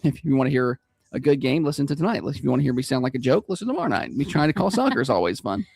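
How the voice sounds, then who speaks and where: A man with a high-pitched Southern accent, hosting a morning radio show in casual, self-deprecating chat. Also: sing-song, racing, creaky, darkish tone. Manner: chatty, self-deprecating, laughs easily. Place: radio studio.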